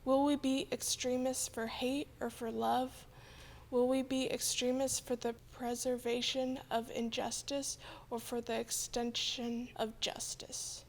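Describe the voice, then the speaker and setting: A woman's voice: on the high side, flat delivery, measured pace. A woman, a church member, reading quotations aloud in a solemn, scripted way.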